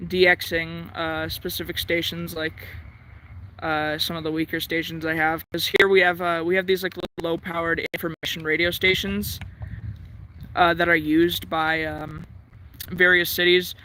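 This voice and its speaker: An American man giving a casual hobbyist demonstration, thinking aloud in a halting way, outdoors. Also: fairly high pitch, little pitch movement, sharp tone, slight background noise, poor audio. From United States. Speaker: radio hobbyist presenting. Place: outdoors in the sun.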